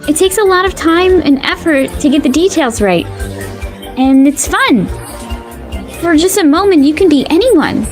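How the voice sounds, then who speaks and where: Audio drama role, female actor: sincere young woman in costume, extremely high pitch, soft music underneath. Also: expressive, moderate pace. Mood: earnest, defensive.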